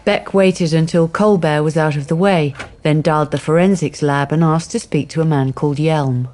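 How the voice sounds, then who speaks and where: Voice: medium pitch, fairly even intonation, normal speed, a little mellow. A narrator reading scripted storytelling passages for a radio drama.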